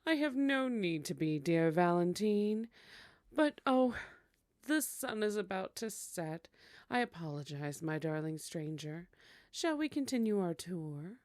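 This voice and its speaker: Woman playing a courtly female vampire, lowish voice, slow, teasing, formal, flirtatious, ASMR drama. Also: very animated, darkish tone, dry recording, clean studio sound. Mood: coy, teasing. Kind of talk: scripted ASMR roleplay acting.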